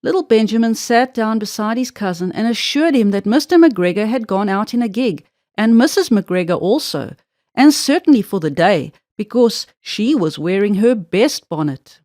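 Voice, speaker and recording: A woman's voice: fairly high pitch, sing-song, normal speed, darkish tone, no echo, clean studio sound. A woman narrating a children's storybook expressively, drawing out words for emphasis.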